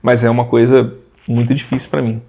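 A man in his forties, a guest in a casual interview, talking in a rambling, animated way with frequent restarts. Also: medium pitch, brisk, creaky. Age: 40s. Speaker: interview guest, social media page owner.

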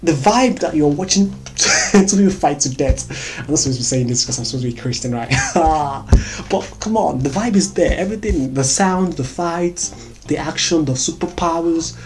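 A Nigerian-accented vlogger giving casual movie recommendations in a chatty, excitable way, laughing often and repeating words. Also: mid-range voice, moderate pace, faint music behind.

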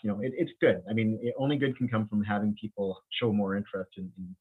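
A man who grew up in the Bahamas, an infectious disease physician speaking as an interview guest, halting and restarting often, with frequent light laughs. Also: fairly low pitch, fairly even intonation, husky. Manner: halting, many restarts and repeated words; laughs often while talking. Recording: tinny phone-like line.

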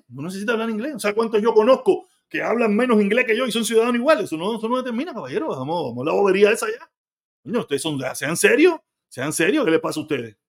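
A Cuban man hosting a live stream, reading viewer comments aloud and answering them bluntly, with frequent swearing. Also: sits high. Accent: Cuban Spanish. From Cuba. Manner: blunt, argumentative, swears freely. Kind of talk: casual live stream chat.

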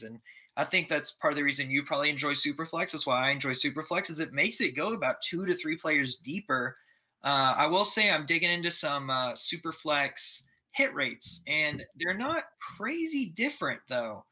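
A podcast host from Texas speaks with a very bright, sharp voice. His talk is easygoing and upbeat, full of casual fillers as he asks questions. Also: fairly high pitch.

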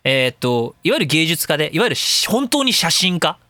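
Fast-talking Japanese man hosting radio. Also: on the high side, sing-song, sharp tone. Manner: rambling, self-mocking, rough casual speech. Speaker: radio show host and voice creator. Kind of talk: casual solo radio talk.

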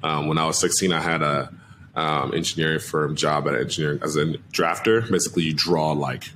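An energetic young man from Cameroon, a marketing agency owner, talking casually and upbeat as a podcast guest. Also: rumbling low, fairly quick, a little hiss or hum. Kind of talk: casual interview talk.

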